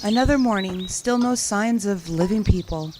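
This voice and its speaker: Actress as a reflective lone survivor, noisy background. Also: female voice, mid-range voice, normal speed, lightly acted. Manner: reflective, musing to herself. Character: lone young woman survivor after apocalypse, reflective, yearning. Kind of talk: audio drama monologue.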